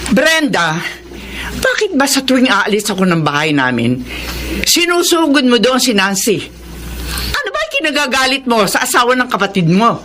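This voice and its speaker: A stern older Filipino mother in a radio soap. Her voice is bright and forceful with wide swings of pitch, firmly reproving her grown children. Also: wildly swooping pitch, moderate pace, slight background noise. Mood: indignant, reproving. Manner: firm, reproving, emphatic. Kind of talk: Filipino radio drama acting.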